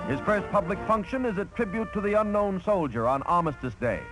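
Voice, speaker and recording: Man, American accent, high voice, declamatory 1940s newsreel narration, music behind, old film sound. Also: animated, a little fast, rough recording. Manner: formal, declamatory announcing. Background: loud music.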